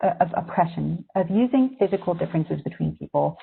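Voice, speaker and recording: A woman's husky, slightly low voice comes through thin, phone-like video-call audio. She grew up in Syria and speaks as a magazine editor, giving a reflective webinar talk to journalism students and weighing each point carefully. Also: lively intonation, brisk. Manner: thoughtful, reflective.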